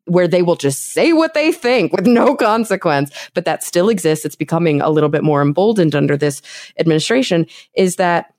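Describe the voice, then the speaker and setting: A woman's voice: lowish voice, expressive, a little fast, darkish tone, no echo, studio quality. A woman from Texas hosting a podcast, talking through long, thoughtful points in casual conversation. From Texas, USA. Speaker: podcast host. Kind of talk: casual interview chat.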